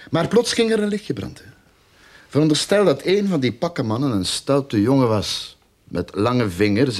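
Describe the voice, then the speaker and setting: Deep-voiced sly sarcastic detective. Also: male voice, a little mellow, slightly rough, full character voice. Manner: mocking, theatrical, mock-polite. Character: sly sarcastic male amateur detective, greedy and theatrical. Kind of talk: radio drama acting.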